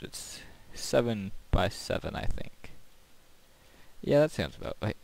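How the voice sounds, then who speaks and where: Male gaming host, mid-pitched voice, casual commentary, rambling, conversational, unscripted. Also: creaky, darkish tone.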